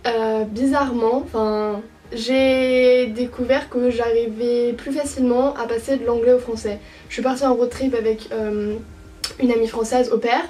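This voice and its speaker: A young woman from France chats through her thoughts in a hesitant vlog, stopping often to restart her sentences. Her voice sits a little high yet sounds mellow. She is heard from some distance from the mic, with faint music playing underneath. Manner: hesitant, many fillers and restarts. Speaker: au pair vlogger. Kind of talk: casual reflective monologue.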